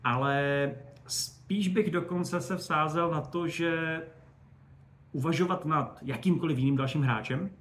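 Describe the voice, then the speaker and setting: A man's voice: fairly high pitch, fairly bright tone. A man giving conversational, enthusiastic and discursive answers as a guest expert on spaceflight.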